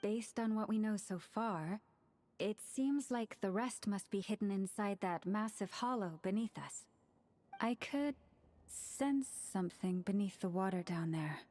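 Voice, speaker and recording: A woman voicing a calm, serious young fighter in a video game, measured and earnest, with battle grunts. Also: mid-range voice, close to an everyday voice.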